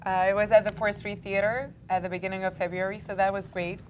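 A woman's voice: mid-range voice, fast, slight background noise. A woman in her thirties, a guest actress, reciting a poem on a TV studio stage and then chatting at a table. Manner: dramatic reading then relaxed replies. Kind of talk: poetry recitation and casual chat.

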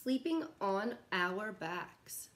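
A woman's voice: mid-range voice, expressive, very slow, a bit bright, slight background noise. A woman in her thirties reading a picture book aloud to young children in a sing-song way, recorded at home. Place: living room at home, indoors.

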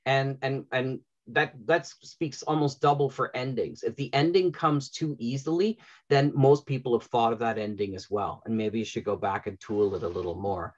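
A man's voice: mid-range voice, moderate pace, dull audio. A middle-aged man hosting a conversational panel interview over a video call. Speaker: panel host. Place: indoor room at home, video call.

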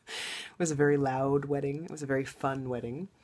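A middle-aged woman hosting her own podcast, chatting in a rambling way with a low, husky voice from a recent loud wedding, recorded at home. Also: fairly even intonation, moderate pace. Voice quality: low baritone voice. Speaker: podcast host. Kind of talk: casual podcast monologue.

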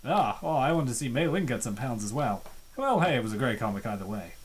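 Playful, animated commentator with swooping pitch. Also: fast, a little hiss or hum. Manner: rambling, playful, excitable reactions. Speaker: comic dub host. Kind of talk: casual commentary reading a webcomic.

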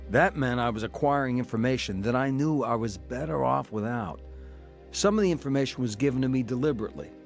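A man's voice: medium pitch, natural voice. An actor playing a troubled man, earnest and reflective, in a scripted first-person radio drama. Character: earnest, troubled man recounting his own past.

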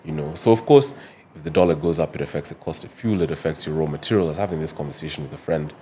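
A Kenyan man in his thirties, a podcast guest explaining things in a measured way, often adding "you know", recorded on a studio mic. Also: very deep voice, mellow, slight background noise. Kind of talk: conversational interview. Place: studio with neon sign, indoors. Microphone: podcast mic on boom arm.

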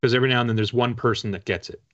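A man's voice: mid-range voice, steady tone, racing, fairly bright tone. A man in his forties chatting casually as a guest author, rambling and self-deprecating, over a video call. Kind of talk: casual interview chat. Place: home room with bookshelves, video call. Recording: dull, lo-fi call audio.

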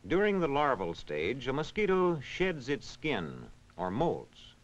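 Vintage film narrator, swooping intonation. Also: male voice, medium pitch, huge pitch swings. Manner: measured, instructional, clearly enunciated. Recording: dull, band-limited vintage film soundtrack.

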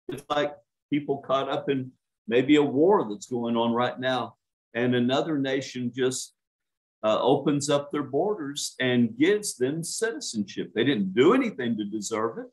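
An older male minister preaches slowly to a small home congregation, his pitch rising and falling widely as he leans on key words. He sounds earnest and emphatic, speaking into a webcam from a small room at home. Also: poor audio. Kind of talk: home church sermon.

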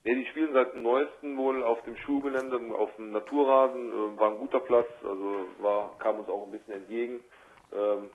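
A man giving a spontaneous sports interview over the telephone as a football coach, measured and matter-of-fact with hesitations. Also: medium pitch, steady tone. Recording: tinny, band-limited telephone line.

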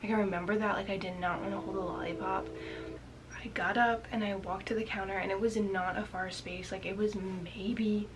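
A young woman with a slightly raspy voice, chatting to her webcam in a small bedroom, full of "like" fillers and often dropping into a whisper. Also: medium pitch, fairly even intonation, normal speed, background noise. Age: young adult. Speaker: vlogger. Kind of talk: casual storytelling to camera.

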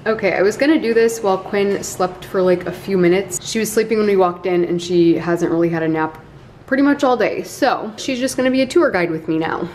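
Young woman, family vlogger, chatty, bubbly, slightly hoarse, handheld camera, noisy background. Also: mid-range voice, a little fast, darkish tone. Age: young adult. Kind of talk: casual travel vlog. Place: car, plane and rental house. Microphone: handheld camera at arm's length.

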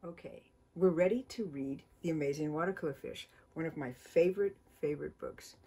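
Woman, middle-aged, children's author, storytime reading, mellow tone, slightly low voice. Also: gravelly. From United States. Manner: cheerful, slow and expressive storytelling. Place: home studio full of books, indoors.

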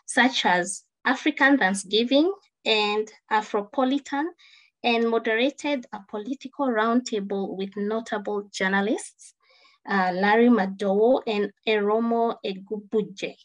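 A woman's voice: a little high, a little slow, low-quality recording. A woman hosting a program, reading a guest introduction and announcements in a formal way. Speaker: program host.

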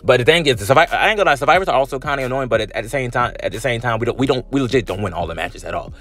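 A man's voice: medium pitch, lively intonation, rapid-fire, fairly bright tone. A man talking casually over gameplay footage as the channel's host, in a drowsy, loose way with lots of fillers and slang.

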